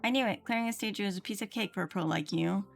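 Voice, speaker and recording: A woman's voice: medium pitch, fast, gravelly. A woman livestreaming a game, chatting casually as she thinks aloud and reads dialogue out. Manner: thinks aloud, playfully mimics game lines. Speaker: game streamer. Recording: game music behind the voice. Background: video game music.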